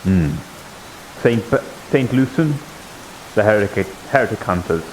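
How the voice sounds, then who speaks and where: A man playing a cautious, reserved, learned character in an improvised fantasy roleplay, gravelly, with a measured, hesitant delivery. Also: on the low side, lively intonation, noisy background. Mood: reserved, curious.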